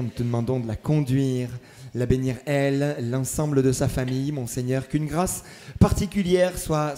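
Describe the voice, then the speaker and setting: A man leading a church service, giving warm welcomes, announcements and prayers with a hesitant, pausing delivery. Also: mid-range voice. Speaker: church leader welcoming the congregation.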